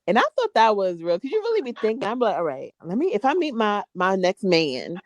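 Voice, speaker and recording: A woman in her late twenties chatting on a podcast, expressive and laughing as she acts out her own thoughts. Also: mid-range voice, a little fast, no echo, dull audio. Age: late 20s. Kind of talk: casual podcast chat.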